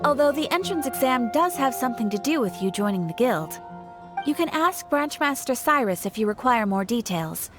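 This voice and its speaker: A woman's voice: fairly high pitch, expressive, normal speed, over music, lightly acted. A woman voicing a courteous, formal guild receptionist in a video game, polite and helpful. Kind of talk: scripted video game character acting.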